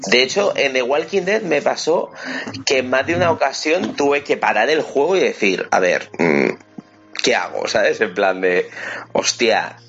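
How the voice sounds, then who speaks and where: A man hosting a casual video game podcast, talking haltingly and often repeating his words. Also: medium pitch, lively intonation, a bit bright. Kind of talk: casual chat. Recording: dull lo-fi mic, faint noise.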